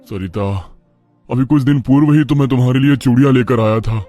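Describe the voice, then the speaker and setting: A man's voice: medium pitch, fairly quick, dark tone, faint music behind, natural voice. A man voicing a humble, good-natured young working husband in a storybook cartoon, modest and respectful. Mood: gently protesting.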